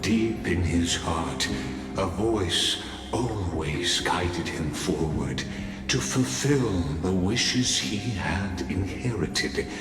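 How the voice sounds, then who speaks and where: A man acting as the solemn narrator of an epic game legend, speaking in a grave, stately, measured way. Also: sits low, lively intonation, exaggerated voice. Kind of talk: scripted dramatic storytelling narration. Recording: long hall reverb, music underneath.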